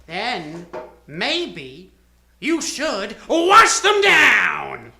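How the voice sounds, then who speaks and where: Woman playing a shrill, taunting villainous carnival clown. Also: wildly swooping pitch, extremely slow, gravelly, pushed and bright, echoey room, heavily acted. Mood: feigned innocence. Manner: theatrical, taunting. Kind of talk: live radio detective drama acting. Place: theater stage.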